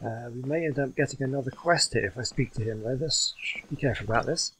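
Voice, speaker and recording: A man's voice: mid-range voice, fast, a bit bright, a little hiss or hum, rough recording. A man giving casual, chatty commentary as he plays a game, thinking aloud and reading choices out. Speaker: gaming streamer.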